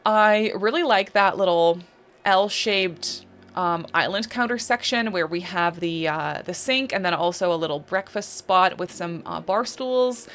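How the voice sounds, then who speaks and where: A woman's voice: fairly low pitch, measured pace, fairly bright tone. A young woman from the Netherlands with a Dutch accent, chatting casually over a game build and rambling with lots of fillers. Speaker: Sims builder and YouTuber.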